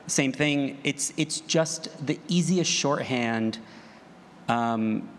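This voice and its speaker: A man speaks informally as a panelist, eager and halting as he works through his thoughts. His mid-range voice rings in a reverberant hall with faint noise behind it. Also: medium pitch. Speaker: panelist, audio drama creator. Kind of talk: panel discussion, informal opinions.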